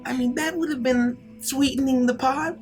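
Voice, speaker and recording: A woman's voice: high voice, steady tone, moderate pace, a little mellow, faint music behind, natural voice. A woman voicing a tiny, naive, flustered pixie with a sneaky streak, hesitant and stammering, in a tabletop game. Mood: sly. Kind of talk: improvised tabletop roleplay.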